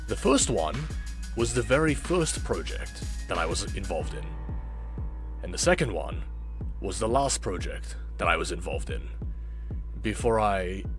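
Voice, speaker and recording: A man with a fairly low voice tells his story as a reflective vlogger. His pitch swings widely, while his pace is unhurried, with dramatic pauses and emphatic repeats. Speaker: video creator, former amateur voice actor. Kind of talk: scripted reflective vlog narration.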